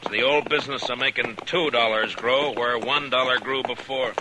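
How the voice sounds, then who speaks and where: An actor playing a steady, stern frontier US marshal, calm and firm in a 1950s radio drama. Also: male voice, medium pitch, moderate pace, background noise, lightly acted. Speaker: lawman.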